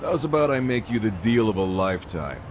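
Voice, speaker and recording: An actor playing a brash, hot-headed gang boss in a video game dub, with slangy, swaggering tough talk. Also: male voice, on the low side, very animated, a little fast, noisy background. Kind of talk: English-dub video game cutscene acting. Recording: music behind the voice.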